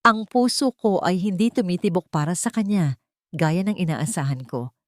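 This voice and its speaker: A woman's voice: lowish voice, normal speed, no echo, clean studio sound, close to an everyday voice. A woman voicing a wealthy, solemn older father with grave feeling in an audio story. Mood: uneasy. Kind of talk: audio story character dialogue.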